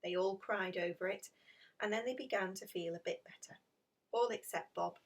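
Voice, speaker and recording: A woman's voice: mid-range voice, moderate pace, distant mic. A woman reading a children's picture book aloud as a storyteller. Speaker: storybook reader.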